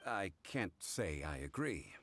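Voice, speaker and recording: An actor voicing a calm, courteous, erudite gentleman in a video game, speaking in a measured, formal way. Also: sits low, exaggerated voice. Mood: calm certainty. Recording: prominent game music behind the voice.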